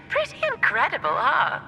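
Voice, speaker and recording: A self-assured, playful game mage with a teasing, theatrical flair. The voice is high and very lively, swinging widely over music. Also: female voice, exaggerated voice, low-quality recording. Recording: music behind.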